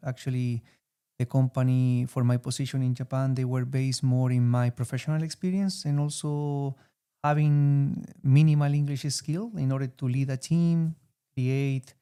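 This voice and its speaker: Chilean man, smooth and mellow voice. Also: medium pitch. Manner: halting, searching for words with frequent fillers. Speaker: guest, former student. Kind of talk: interview answers.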